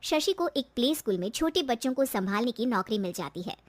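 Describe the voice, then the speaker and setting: A woman narrates a cartoon moral story in a high-pitched voice. She reads from a script as a storyteller. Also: big pitch swings, fairly quick, dry recording.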